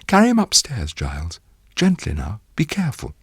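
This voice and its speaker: A man voicing a kind, gentle young woman with a soft, hushed manner in an audiobook story. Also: on the low side, wildly swooping pitch, moderate pace, vocal fry, slightly rough, no room sound, clean studio sound, pitched above the performer's own voice, exaggerated voice. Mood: tender concern. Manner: gently urging.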